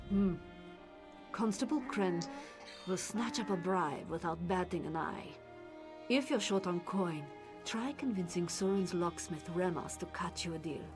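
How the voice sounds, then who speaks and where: An actor playing a guarded middle-aged town guard captain in a video game, talking low and urgently, with faint music underneath. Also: male voice, close to an everyday voice. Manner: hushed, conspiratorial, urgent. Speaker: game character. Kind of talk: studio video game voice acting.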